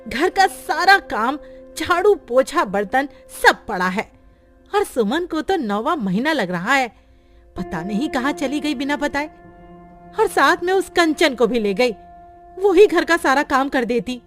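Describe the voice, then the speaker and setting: A woman voicing a harsh, scolding older mother-in-law in a cartoon story, in a lower, contemptuous voice. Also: animated, a little slow, soft background music. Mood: complaining. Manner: sharp, nagging and contemptuous.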